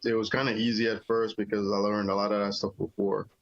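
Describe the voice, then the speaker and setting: A middle-aged man from Jamaica talks through his past in an easygoing, meandering way, full of fillers. His voice sits fairly low, recorded dry and a bit muffled. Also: fast talker, no room sound. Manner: relaxed, rambling with many "you know" fillers. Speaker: interview guest, army veteran. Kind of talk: casual storytelling interview.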